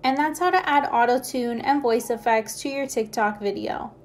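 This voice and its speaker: A woman's voice: fairly high pitch, normal speed, a little hiss or hum. A woman reading scripted how-to narration as a tutorial narrator.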